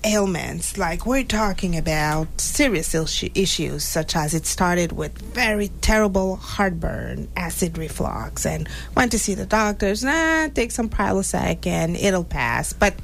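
A woman's voice: lowish voice, expressive, a little slow, creaky, few pauses. Woman guest telling her story, faint room hum. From born in Haiti, raised in Montreal. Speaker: podcast guest. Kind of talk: casual interview conversation.

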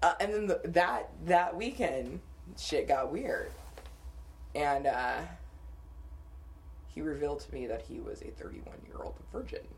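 Animated laughing woman podcaster. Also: sits low, measured pace, gravelly, pauses often, poor audio. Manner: animated storytelling with frequent laughter. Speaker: podcast co-host. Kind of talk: casual chat.

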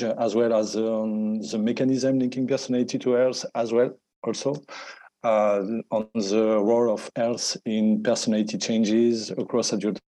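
A male academic with a French accent explains his work in an interview. His voice is dark and mellow, sitting at an average pitch. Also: low-quality recording. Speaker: academic researcher, associate professor.

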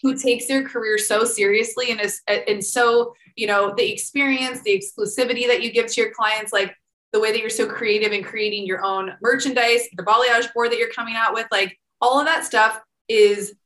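Gushing woman podcast host, bright voice. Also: fairly high pitch, steady tone, normal speed, reverberant, poor audio. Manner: gushing, enthusiastic, heavy use of "like" and "literally". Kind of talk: casual interview chat.

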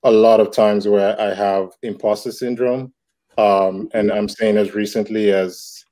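A man's voice: lowish voice, little pitch movement, measured pace, mellow. A man in his thirties from Nigeria, a comic book creator answering interview questions in a reflective way, with many fillers and restarts. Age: 30s. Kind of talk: casual interview talk.

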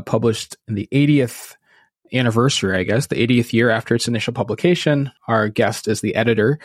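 A man's voice: mid-range voice, no echo, clean studio sound. A man hosting a podcast, speaking in a conversational but formal presenting style. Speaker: podcast host. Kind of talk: hosting sign-off.

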